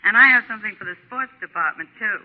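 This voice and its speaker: An American woman playing a brisk, chatty Hollywood gossip columnist in a scripted 1940s radio segment. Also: medium pitch, expressive, narrow, tinny audio, close to an everyday voice. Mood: chatty, knowing. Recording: band-limited 1940s radio recording.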